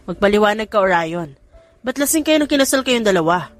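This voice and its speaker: A woman's voice: mid-range voice, lively intonation, brisk, soft background music, lightly acted. A woman with a Filipino accent voicing a competitive elder brother in an audio story, demanding and petulant. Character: competitive, normally calm eldest brother.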